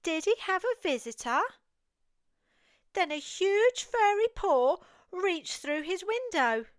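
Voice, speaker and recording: English female storyteller, very high voice, unhurried and expressive, for toddlers' picture books. Close, dry sound. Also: no echo. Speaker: children's storyteller. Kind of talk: read-aloud picture book narration for toddlers.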